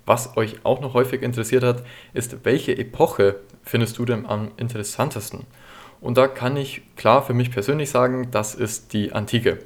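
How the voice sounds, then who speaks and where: A nineteen-year-old man hosting a history podcast, answering listener questions in a relaxed, chatty way with frequent fillers. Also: mid-range voice, normal speed.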